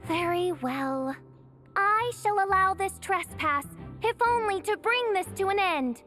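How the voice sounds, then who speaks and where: A woman's voice: very high-pitched, expressive, unhurried, fairly bright tone, soft background music, exaggerated voice. A woman voicing a haughty teenage girl in a video game who speaks like a grandiose, archaic princess. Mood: reluctant, imperious. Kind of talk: video game character acting.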